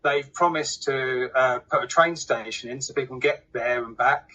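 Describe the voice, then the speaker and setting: A man's voice: medium pitch, moderate pace, bright, forceful tone. A man explaining things casually, in a plain, hesitant, conversational way. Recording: slightly dull lo-fi sound.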